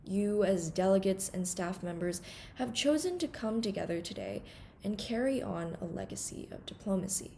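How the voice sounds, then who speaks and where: A teenage woman from Hong Kong delivering a prepared welcome speech at a student conference in an earnest, formal, measured way. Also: mid-range voice, animated, creaky, warm tone, a little hiss or hum. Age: teens. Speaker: conference undersecretary general giving a welcome speech.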